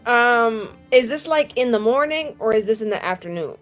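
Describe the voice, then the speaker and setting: A woman's voice: a little high, lively intonation, moderate pace, faint music behind. A woman playing a tabletop roleplaying game, chatting casually in a playful, informal way.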